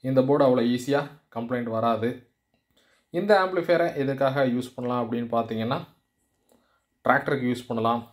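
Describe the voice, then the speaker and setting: Male product-demo narrator: brisk, explanatory, average pitch, English technical terms mixed in. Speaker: electronics channel presenter and seller.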